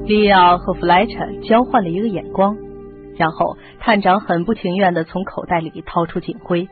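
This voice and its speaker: A woman's voice: lowish voice, steady tone, normal speed. A woman reading scripted narration as the narrator of a radio mystery drama. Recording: muffled lo-fi radio drama, music behind.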